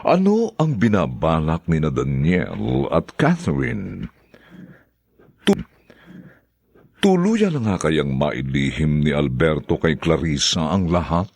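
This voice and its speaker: A man's voice: rumbling low, sing-song, slow, a little mellow, no room sound. A man narrating a radio drama and reading its credits in a dramatic scripted style.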